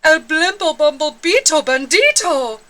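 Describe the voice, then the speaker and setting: Woman putting on excitable little storybook dogs, high-pitched, bright, singsong, exclaiming. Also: measured pace, exaggerated voice. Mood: alarmed.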